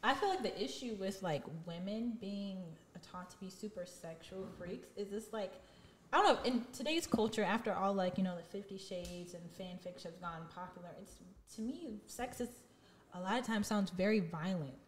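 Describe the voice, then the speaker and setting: A woman's voice: mid-range voice, normal speed. A woman co-hosting a podcast, asking questions in a blunt, joking casual chat. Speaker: podcast co-host.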